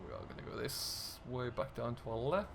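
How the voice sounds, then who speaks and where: A man's voice: mid-range voice, lively intonation, normal speed, vocal fry, noisy background. A man giving a chatty, easygoing running commentary to viewers as he plays a farming game. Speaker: host, gaming video creator. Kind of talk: casual let's-play commentary of a farming simulator.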